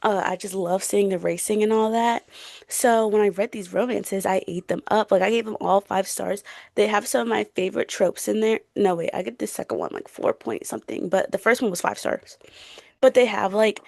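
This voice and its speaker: A woman's voice: mid-range voice, expressive, moderate pace, vocal fry, a little mellow, dry recording, clean studio sound. A young woman in a casual book chat as a podcast co-host, gushing, slangy and playful.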